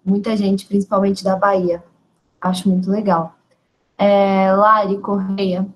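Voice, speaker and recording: A woman's voice: medium pitch, flat delivery, unhurried, poor audio. A woman hosting an online group meeting, giving short friendly remarks and calling on each participant. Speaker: host of an online study group. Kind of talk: casual meeting moderation.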